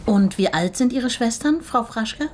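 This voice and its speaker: An actress playing a brisk, businesslike clerk in a radio drama, asking questions in a terse, procedural way. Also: female voice, on the high side, animated, darkish tone, slight background noise, natural voice. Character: brisk, businesslike female clerk at a missing-relatives bureau.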